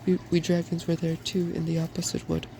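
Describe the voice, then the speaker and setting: A soft-spoken storybook reader voicing a frank, earnest and weary dragon. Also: medium pitch, completely flat delivery, brisk, a little hiss or hum, natural voice.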